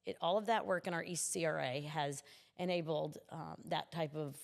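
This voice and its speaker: Low-pitched, gravelly woman in interview. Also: normal speed, in a large hall. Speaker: city commissioner, interview guest. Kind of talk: conversational political interview.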